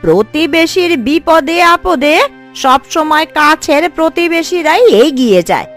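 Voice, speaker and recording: A woman's voice: high voice, expressive, unhurried, fairly bright tone, soft background music. A woman voicing a bossy, affectionate elderly village aunt in a cartoon, with an emphatic, scolding, colloquial manner. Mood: firm, motherly. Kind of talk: Bengali cartoon story dubbing.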